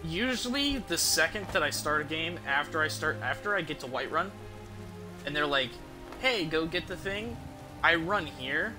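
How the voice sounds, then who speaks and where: A man giving casual, rambling gameplay commentary as a game streamer, with some swearing. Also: fairly high pitch.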